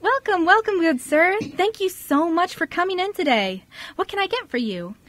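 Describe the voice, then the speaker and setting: Woman playing a perky high-pitched teenage waitress. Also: lively intonation, moderate pace, a bit bright, no echo. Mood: eager, welcoming. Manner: bubbly, over-polite. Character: perky, eager teenage cafe waitress girl. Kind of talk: amateur radio drama acting.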